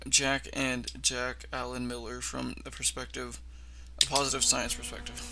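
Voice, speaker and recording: A man's voice: mid-range voice, little pitch movement, moderate pace, creaky, very noisy. A man doing casual reaction commentary and reading text aloud, often stumbling over words and correcting himself. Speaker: reaction video host.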